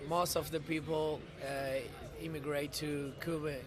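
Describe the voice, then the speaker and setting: A man from the Canary Islands with a Canarian Spanish accent, chatting casually in halting second-language English during an interview. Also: fairly high pitch, level tone, crowd noise. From Canary Islands, Spain. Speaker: podcast guest, visiting artist. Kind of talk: casual street interview chat. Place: outdoors on a New York street. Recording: busy city street with voices around.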